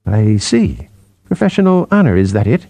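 Man as a probing, mellow psychologist. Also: lowish voice, very animated, normal speed, no room sound, pitched below the performer's own voice. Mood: measured, prodding. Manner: questioning, prodding. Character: probing, level-headed male psychologist, brisk and practical.

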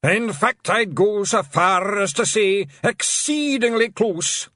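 A man voicing a long-winded, pompous Scottish pixie with a Scottish accent, speaking slowly and grandly. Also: high voice, sharp tone, dry recording, pitched above the performer's own voice, exaggerated voice. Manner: pompous, drawn-out and ponderous.

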